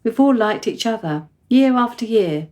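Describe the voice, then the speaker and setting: A woman's voice: a little high, animated, normal speed, dark tone, natural voice. A woman playing a precocious, forthright young girl in a radio play, articulate and insistent in manner. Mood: earnest. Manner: articulate, formal wording, insistent.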